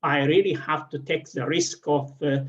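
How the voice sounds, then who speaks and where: A middle-aged man with an Ethiopian accent, a guest speaker at an online event, telling his personal story haltingly with frequent pauses. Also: on the high side, steady tone, a bit bright, highs cut off. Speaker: regional director, guest speaker.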